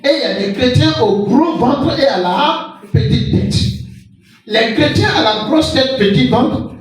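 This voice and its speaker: High-voiced preacher in an echoing hall. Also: male voice, a little slow. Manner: animated preaching with everyday examples. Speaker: pastor. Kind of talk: sermon. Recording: echoey hall from far off with music.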